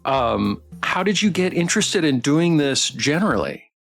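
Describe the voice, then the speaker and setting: A man hosting a casual interview, chatting in a halting, rambling and encouraging way. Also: fairly high pitch, sing-song, gravelly, fairly bright tone, soft background music. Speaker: interviewer, stream host.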